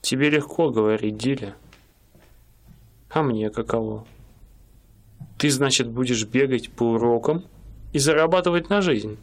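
An actor in a radio play voicing a young struggling painter, a proud husband who talks in a wry, self-mocking way. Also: male voice, medium pitch, unhurried, long pauses, natural voice. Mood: bitter.

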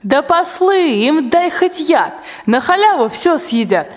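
Woman as high-voiced sharp-tongued old nanny. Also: sing-song, unhurried. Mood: indignant, wary. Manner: scolding, colloquial peasant speech. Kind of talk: rhymed theatrical radio play acting. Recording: long hall-like reverb.

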